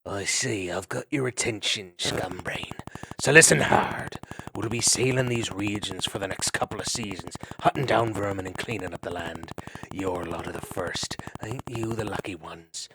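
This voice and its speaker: A man's voice: deep voice, moderate pace, noisy background. A man playing a growling scarred otter warrior. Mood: menacing. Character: gruff scarred old male otter warrior, menacing.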